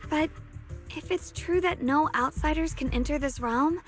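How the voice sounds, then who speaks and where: A woman plays a young swordswoman and devoted younger sister in a video game. Her voice is high and earnest. Soft music runs underneath. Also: moderate pace, close to an everyday voice. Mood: resolute.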